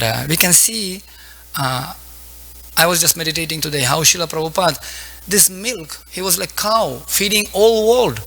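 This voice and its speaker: Bright-voiced man preaching in halting phrases. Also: fairly high pitch, low-quality recording. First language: not English. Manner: halting, searching for words, short broken phrases. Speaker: preacher giving a scripture lecture. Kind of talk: devotional sermon with Sanskrit quotations.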